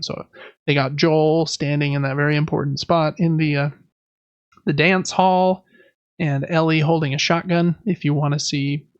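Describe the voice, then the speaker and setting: A man's voice: on the high side, no room sound, lo-fi sound. A man hosting a gaming podcast, running through news in a casual, conversational and informal way. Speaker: podcast host.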